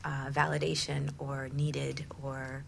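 A woman's voice: extremely low pitch, little pitch movement, slow pace, vocal fry, slight background noise. A woman hosting a conversational radio interview, affirming and summing up points as she goes. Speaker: talk show host.

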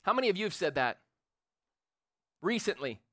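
A man in his forties preaching a sermon in a church, loud and animated, building up intensity. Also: sits high, moderate pace, no room sound, clean studio sound. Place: church sanctuary with a congregation. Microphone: handheld or stage microphone.

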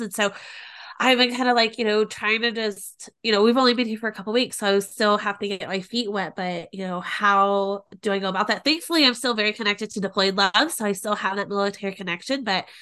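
A woman's voice: medium pitch, sharp tone, clean tone. A woman co-hosting a podcast, talking casually with a stuffy nose from a cold and lots of fillers. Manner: chatty, full of fillers. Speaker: podcast co-host.

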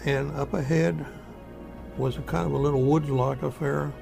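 An elderly American war veteran recounting his memories in a folksy, halting, repetitive way. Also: on the high side, steady tone, creaky, music in the background. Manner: folksy, halting, repeats himself. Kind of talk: spoken first-person war reminiscence.

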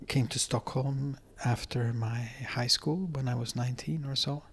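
A middle-aged man speaks in English with a Swedish accent, his voice at an ordinary pitch for a man. He talks as an interview guest, hesitant and thoughtful, filling gaps as he recalls details. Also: fairly even intonation, moderate pace, husky. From Sweden. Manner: hesitant, many fillers and restarts. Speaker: dance professor, guest. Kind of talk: conversational interview. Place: apartment.

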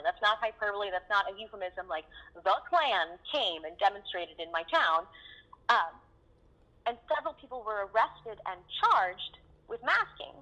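A woman guest in a casual interview, wry and animated, laughing and swearing as she talks. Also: medium pitch. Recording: dull phone line.

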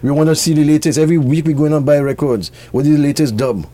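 A man's voice: a little high, fast, warm tone. A middle-aged man from Trinidad with a Trinidadian accent, telling stories casually and colloquially as an interview guest. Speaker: interview guest, record shop owner. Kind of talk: casual conversational storytelling.

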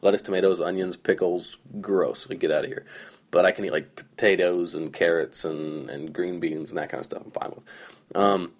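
Young male podcast host, hoarse and groggy, deep voice, rambling, self-deprecating storyteller. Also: fairly even intonation, normal speed. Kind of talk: casual solo storytelling.

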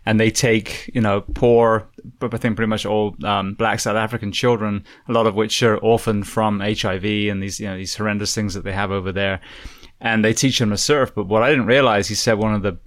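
A male podcast host with a fairly low voice interviews his guest with curiosity. He likes to share long asides of his own. The sound is dry and close. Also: fairly even intonation, a little fast, no echo. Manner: inquisitive, chatty, explains at length. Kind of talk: interviewing, conversational.

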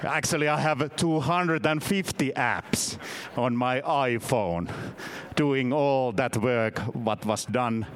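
A man from Finland in his fifties with a Finnish accent, giving a halting, self-deprecating conference talk in a second language. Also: medium pitch, slight background noise. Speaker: conference speaker. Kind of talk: conference presentation. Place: conference session room.

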